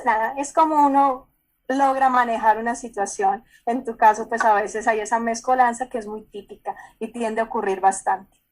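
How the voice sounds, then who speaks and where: A Colombian woman psychologist explaining calmly in a conversational way, often repeating words and checking in with the listener. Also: sits high, rough recording. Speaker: psychologist speaker. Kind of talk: explanatory talk over video call.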